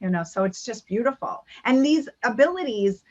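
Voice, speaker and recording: A woman's voice: fairly high pitch, expressive, moderate pace, darkish tone, highs cut off. A woman giving an earnest spiritual talk over a video call, with many ums and restarts. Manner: earnest, many fillers, speaks of spiritual energy. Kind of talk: online talk and Q&A.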